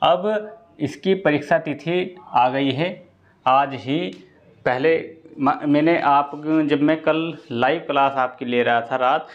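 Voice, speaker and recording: Male coaching teacher, dull lo-fi recording. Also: medium pitch. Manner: explanatory, repetitive, often checks with "okay". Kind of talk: informal exam-prep lesson to students. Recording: dull lo-fi sound.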